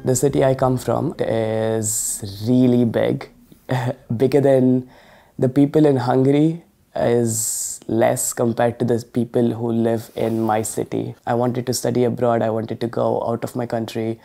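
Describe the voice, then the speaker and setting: Flat-voiced Indian man, gravelly. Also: mid-range voice, measured pace. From India, Bangalore. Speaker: international student interviewee. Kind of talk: documentary interview. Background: clear guitar music.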